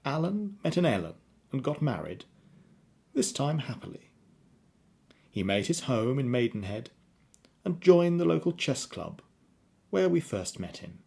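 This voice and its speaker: A man's voice: medium pitch, sing-song, a little slow, vocal fry, long pauses, dark tone, breathy edge. A man narrating a radio play as a scripted storyteller.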